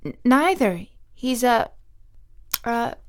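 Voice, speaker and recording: A woman voicing an earnest, kind young girl in a storybook reading, her pitch raised well above her narration. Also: big pitch swings, slow pace.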